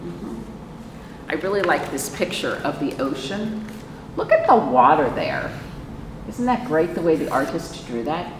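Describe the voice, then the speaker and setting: An American woman leads a storytime for young children in a lowish voice that moves at a leisurely pace. She is expressive and puts questions to her listeners as she goes. The sound is distant and reverberant, with noise behind her. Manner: expressive, asks listeners questions and pauses for answers. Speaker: librarian hosting children's storytime. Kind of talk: picture book read-aloud for young children. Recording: roomy, echoing, noisy, far from microphone.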